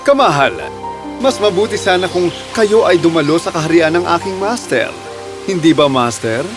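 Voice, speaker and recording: A man acting a clever, animated storybook cat. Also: fairly high pitch, moderate pace, close to an everyday voice. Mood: respectful, pleading. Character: clever, bold talking cat, loyal servant to his master. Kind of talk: animated fairy tale voice acting. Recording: music under the voice.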